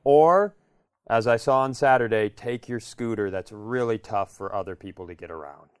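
American man, middle-aged, casual comic storyteller, emphatic and repetitive, crisp voice, dry close recording. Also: lowish voice, normal speed, no echo. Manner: emphatic, repeats phrases, acts out quotes. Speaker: podcast co-host. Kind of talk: animated comedic storytelling in casual chat.

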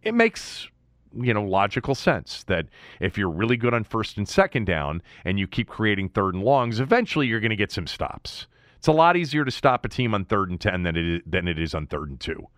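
Male sports radio host, lowish voice with big swings in pitch, close dry sound, emphatic conversational analyst. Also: big pitch swings, brisk, a bit bright, no echo. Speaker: sports talk show host.

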